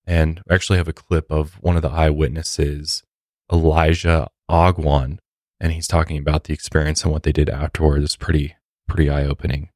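A man's voice: a bass voice, level tone, moderate pace, darkish tone, no echo, studio quality. A man with an American accent hosting a true crime podcast, moving between scripted storytelling and casual commentary in a somber manner. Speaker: podcast host. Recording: faint music behind.

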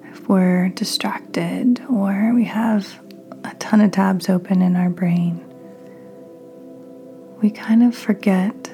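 A woman podcast host leading a guided meditation in a gentle, soothing, breathy near-whisper. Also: mid-range voice. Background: soft music.

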